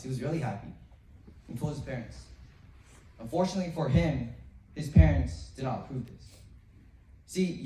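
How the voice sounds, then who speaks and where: A seventh grade boy giving an earnest memorized speech to a school assembly, with pauses and a few restarts. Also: a little high, dark tone, a little hiss or hum. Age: young teen, 7th grade middle school student. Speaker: student speaker. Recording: roomy, distant from the mic.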